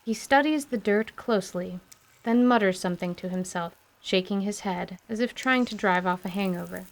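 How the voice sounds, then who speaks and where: Measured female storyteller, medium pitch. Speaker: narrator. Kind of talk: scripted descriptive story narration. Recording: faint background noise.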